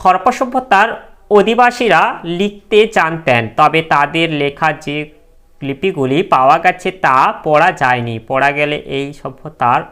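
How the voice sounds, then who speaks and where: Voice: medium pitch, normal speed, fairly bright tone, smooth. A teacher reads exam questions and answers aloud as a lesson, instructing students directly.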